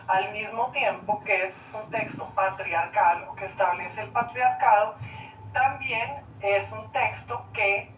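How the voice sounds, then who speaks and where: A speaker calmly explaining an idea in a talk that is played back during the show. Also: medium pitch, slow pace, slight background noise. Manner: thoughtful, explanatory. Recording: dull, distant playback in a roomy hall.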